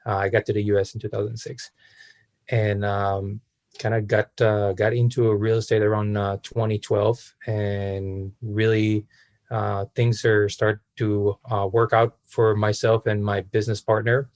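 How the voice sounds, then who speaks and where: A man in his thirties with an Israeli accent, answering interview questions casually in halting phrases with frequent pauses. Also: fairly low pitch, flat delivery, a little mellow, dry recording. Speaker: podcast guest, real estate entrepreneur.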